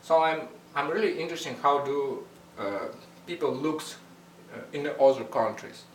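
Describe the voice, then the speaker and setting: Blunt swearing Russian-accented man, echoey. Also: a little high. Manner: blunt, swears a lot, halting non-native English. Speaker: vlogger. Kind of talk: casual rambling vlog. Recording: echoey room, mic at a distance, faint hum.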